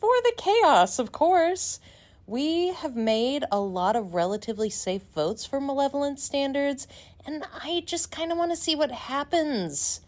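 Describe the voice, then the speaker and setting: Woman, high voice, animated intonation, upbeat, playful, prepared listener voice message. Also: a little slow. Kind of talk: prepared, conversational voice submission.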